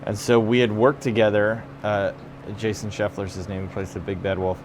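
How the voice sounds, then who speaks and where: Midwestern man, relaxed and chatty. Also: fairly low pitch, moderate pace, background noise. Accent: Midwestern American. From Chicago. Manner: chatty, laid-back, many fillers. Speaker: stage actor interviewed backstage. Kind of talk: casual backstage interview. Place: theater dressing room.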